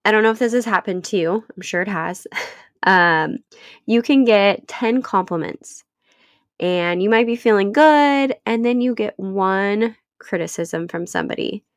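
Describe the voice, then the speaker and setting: Woman podcast host, thirties, stuffy from a cold, slow and earnest, dry close mic. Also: mid-range voice, no echo. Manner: earnest, encouraging, unhurried. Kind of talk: solo podcast talk.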